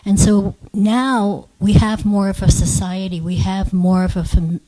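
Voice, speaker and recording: A woman taking part in a religious discussion, talking informally in a measured, thoughtful way. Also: medium pitch, flat delivery, mellow, rough recording. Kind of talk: informal reflective talk.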